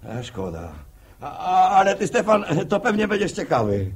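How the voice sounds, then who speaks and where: A cocky, tipsy young villager in an old radio play. His voice is fairly high and husky, swooping as he teases in mocking rustic talk. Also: sing-song, normal speed, full character voice. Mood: mocking glee. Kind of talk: 1960s radio drama acting. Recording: old 1960 radio broadcast recording.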